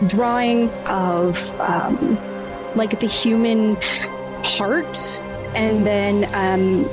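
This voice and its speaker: Slow, hesitant woman improvising a story. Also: mid-range voice, fairly even intonation, over music. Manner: hesitant, casual, thinking aloud. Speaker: player in a tabletop roleplay show. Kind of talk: improvised character narration.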